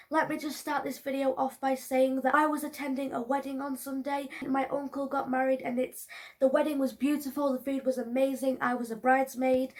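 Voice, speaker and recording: A woman in her early twenties, hoarse from allergies, talking to camera in a chatty, rambling way with many ums and restarts. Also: sits high. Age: early 20s. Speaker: vlogger. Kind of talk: casual talk to camera.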